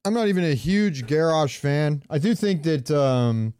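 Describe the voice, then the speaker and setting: A man's voice: a little high, animated, a little slow, dark tone, clean tone. A man co-hosting a podcast, chatting casually in an informal, opinionated way. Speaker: podcast co-host. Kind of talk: casual chat.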